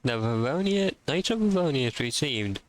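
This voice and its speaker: A man's voice: mid-range voice, expressive, normal speed, a little hiss or hum. A man streaming a video game, chatting casually in distracted, muttered asides. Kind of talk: casual livestream chat while playing. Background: music.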